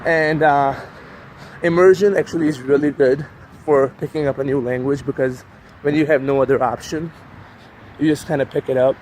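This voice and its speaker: A man's voice: on the high side, fairly even intonation, normal speed, darkish tone. Young man vlogging on a noisy street. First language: Urdu. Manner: loose, rambling, casual with frequent fillers. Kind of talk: casual walking vlog. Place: city street outdoors. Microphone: handheld camera while walking. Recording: street traffic noise behind.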